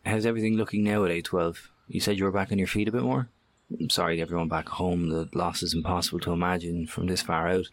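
Low voiced earnest survivor, tired but steady, horror audio drama. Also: male voice, fast, dry recording. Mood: earnest, weary. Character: steady, weary, earnest male survivor on the road.

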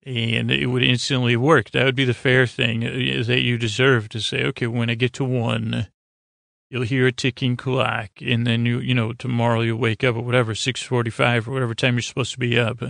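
A middle-aged man with a creaky, gravelly voice at an average pitch, recorded close and dry. He hosts a bedtime podcast, wandering through half-finished thoughts and restarts as he reassures the listener. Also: level tone, fairly bright tone, no room sound. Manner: meandering, halting, self-interrupting with trailing thoughts; reassuring, low-pressure. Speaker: podcast host. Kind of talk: rambling bedtime sleep-podcast monologue.

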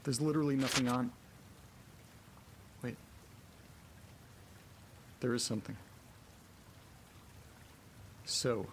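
A man's voice: mid-range voice, lightly acted. Anxious, muttering man, played by an actor over noisy ambience. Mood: wary, puzzled. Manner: halting, muttering to himself, swearing. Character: anxious young man alone in a creepy house. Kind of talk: horror audio drama, first-person monologue.

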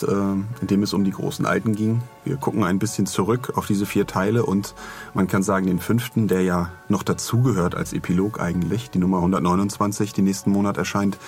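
A man speaks in a low voice as a podcast co-host. He chats casually and jokes along as he goes. Light music plays behind him. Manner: joking, bantering.

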